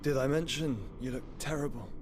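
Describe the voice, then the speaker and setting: Frail, stoic younger brother, voiced by a male actor for fantasy game: medium-pitched, weary, gentle, ambient noise behind. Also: steady tone, a little fast, natural voice. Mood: weary, gentle resolve. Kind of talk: dark fantasy video game cutscene acting.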